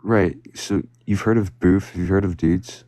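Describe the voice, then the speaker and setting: A man's voice: low voice, steady tone, normal speed, warm tone, dry recording, studio quality. A man being interviewed on the street, rambling and teasing with a prank. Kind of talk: casual chat.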